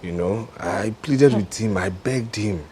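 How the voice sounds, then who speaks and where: A man's voice: lowish voice, a little slow, slight background noise, lightly acted. A man playing a son-in-law who begs and then recounts what happened. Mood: recounting. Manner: begging, then recounting softly. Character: humble son-in-law, pleading and rattled.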